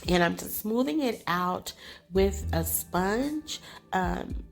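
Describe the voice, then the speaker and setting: A woman's voice: mid-range voice, expressive, slow pace, vocal fry, soft background music. A woman hosting a crafting channel, talking casually over her tutorial, sounding nasal and congested from allergies, chatty and apologetic. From California. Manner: chatty, apologetic, laughs often.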